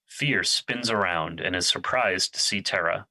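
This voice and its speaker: Deep, sharp-edged male narrator. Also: measured pace, rough recording. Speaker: narrator reading stage directions. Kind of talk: scripted narration.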